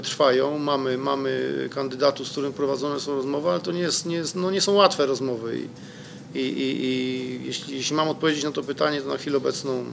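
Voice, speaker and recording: Gravelly middle-aged coach at a press table. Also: male voice, medium pitch, a little hiss or hum. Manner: hesitant, many fillers and restarts. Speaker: football coach. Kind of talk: press conference answers. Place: press room in front of a sponsor wall. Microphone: desk microphone.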